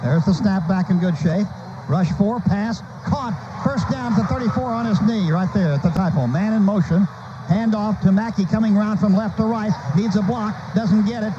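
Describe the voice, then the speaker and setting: High-pitched American football radio announcer, man. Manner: clipped, urgent staccato phrasing. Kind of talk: live American football commentary. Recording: noisy, dull lo-fi radio broadcast.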